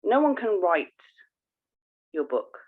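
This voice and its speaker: A woman co-hosting a podcast, chatting informally and explaining things with halting emphasis. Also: medium pitch, big pitch swings, husky. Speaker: podcast co-host. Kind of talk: casual podcast chat. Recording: thin phone-like call audio.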